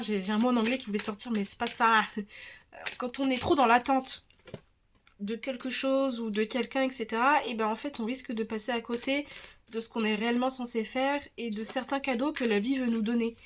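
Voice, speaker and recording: A woman with a French accent giving a relaxed tarot reading, talking in a chatty, hesitant way with many restarts and a slightly stuffy nose. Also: on the high side, a little hiss or hum. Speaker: tarot reader. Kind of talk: casual tarot reading video.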